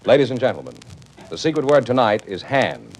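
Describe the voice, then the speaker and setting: A man's voice: medium pitch, big pitch swings, fairly quick, poor audio. A man announcing a 1950s broadcast quiz show in a showy, projected delivery.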